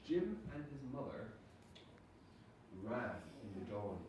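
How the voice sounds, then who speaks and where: Male teacher, dramatic storybook read for children, lively pitch swings, heavy room echo with faint noise. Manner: dramatic, drawn-out storytelling delivery. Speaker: primary school teacher reading aloud. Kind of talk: expressive storybook reading to children. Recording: echoey room, distant mic, background noise.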